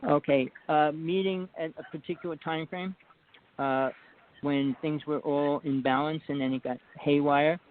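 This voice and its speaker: A man calls in and talks slowly, with hesitant, halting phrases. His voice sits fairly high. It comes through a phone line with a faint hiss behind it. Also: steady tone. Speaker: caller. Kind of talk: casual talk on a call.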